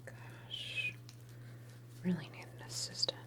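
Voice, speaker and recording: Slow whispering unhinged female experimenter, acted by a woman. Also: lightly acted. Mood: offhand, unhinged. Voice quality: breathy whisper. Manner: unhurried, murmured, offhand and clinical. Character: unhinged female superpowered experimenter, casually clinical, faintly menacing. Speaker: ASMR roleplay performer. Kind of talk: whispered ASMR medical exam roleplay.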